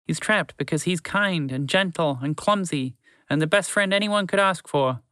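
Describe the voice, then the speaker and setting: A man's voice: fairly high pitch, moderate pace, sharp tone, no echo, voice pushed up. A man voicing a small, earnest male mouse who pleads and talks a lot. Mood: pleading. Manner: pleading, talkative.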